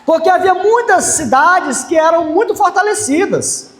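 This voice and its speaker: A man's voice: very high-pitched, expressive, normal speed. A man preaching a sermon in a church, emphatic and often raising his voice on key phrases. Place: church hall. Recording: echoey church hall with long reverb.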